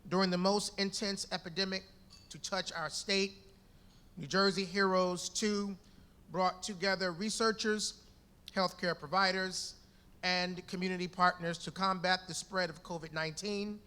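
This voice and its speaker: A man with a fairly high, bright voice speaks as a reverend before a live audience. His delivery is resonant and measured, like formal oratory for a letter read aloud. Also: fairly even intonation, clear, resonant tone. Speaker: reverend reading an official letter.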